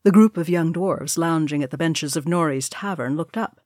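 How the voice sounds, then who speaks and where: A woman with a slightly low voice narrates a scripted story as an audiobook reader. The sound is close and dry, with little room in it. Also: moderate pace, a little mellow, no echo.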